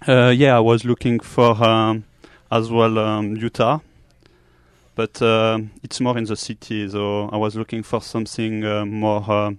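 A young man from Switzerland, answering casually in halting English. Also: on the low side, level tone, clean tone, no echo. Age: young adult. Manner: halting non-native English. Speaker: student athlete, interview guest.

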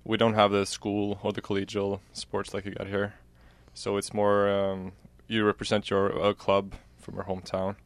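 A man's voice: fairly low pitch, monotone, moderate pace, hardly any pauses, smooth, no room sound. A young man with a Norwegian accent giving casual answers as an interview guest. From Norway. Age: young adult. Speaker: student athlete, interview guest.

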